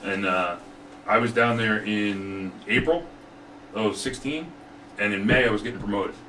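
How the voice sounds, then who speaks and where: A man's voice: lowish voice, unhurried, bright, forceful tone. A man chatting casually and telling stories as a firefighter instructor guesting on a podcast. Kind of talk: casual storytelling chat. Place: studio room. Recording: roomy, distant mic, faint background noise.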